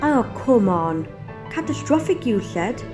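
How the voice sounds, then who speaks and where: A woman playing a bold, gun-toting travelling companion in an audio drama, brisk, pert and questioning. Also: on the high side, big pitch swings, music in the background. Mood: defiant.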